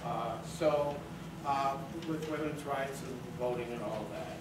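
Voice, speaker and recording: A woman presenter talks informally and slowly. She is far from the mic in a noisy, very echoey theater. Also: extremely low pitch. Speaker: presenter of the event. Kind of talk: informal introduction to an audience. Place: small theater, live event.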